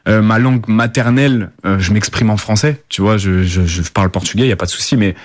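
A man's voice: on the low side, fairly quick, no room sound, studio quality. A young man from the Paris suburbs, answering interview questions casually with many fillers and restarts. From Paris suburbs, France. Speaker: rapper, guest.

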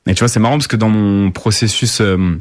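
A man's voice: lowish voice, fairly even intonation, a little fast, no echo, clean studio sound. A young man from the Paris suburbs, answering interview questions casually with many fillers and restarts. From Paris suburbs, France. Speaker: rapper, guest.

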